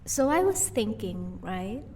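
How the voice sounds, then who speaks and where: A woman playing a gentle, teasing vampire wife in an audio roleplay. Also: medium pitch, big pitch swings, slow pace, far from the mic, slight background noise. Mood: pleading, casual. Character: sweet, playful female vampire, doting wife.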